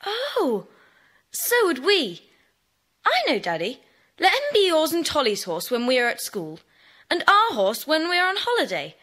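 A woman playing an excited, fair-minded young child in a children's audio drama. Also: high voice, measured pace, exaggerated voice. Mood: eager.

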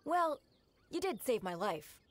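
A woman's voice: fairly high pitch, very animated, unhurried, background noise. Earnest young female informant. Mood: grateful. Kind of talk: anime video game dialogue.

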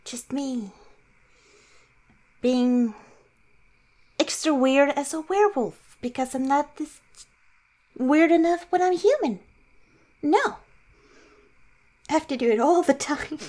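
A woman playing a shy, awkward young werewolf girlfriend in improvised ASMR roleplay, halting and self-mocking. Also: sits high, a little mellow. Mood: awkward, playful.

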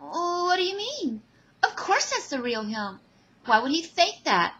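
A woman voicing an excitable, confident teenage girl in a fan audio drama, with gushing, emphatic delivery. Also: very high-pitched, measured pace, pitched above the performer's own voice, exaggerated voice.

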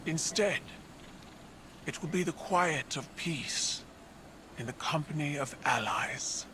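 Grave alien warlord in a game, really slow and heavy, noisy game audio. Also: male voice, on the high side, sharp tone. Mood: reflective. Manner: slow, measured, solemn. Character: proud alien warrior ruler, grave. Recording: game audio with background noise.

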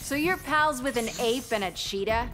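Lady doing a young game superhero girl, high voice, defiant, music behind. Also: normal speed, lightly acted. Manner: defiant, confident. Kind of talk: video game hero acting.

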